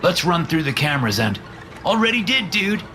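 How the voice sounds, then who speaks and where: A man doing higher tense young voices. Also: very animated, normal speed, bright, forceful tone, a little hiss or hum. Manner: raised pitch for dialogue. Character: young adult characters, men and a woman. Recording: music underneath.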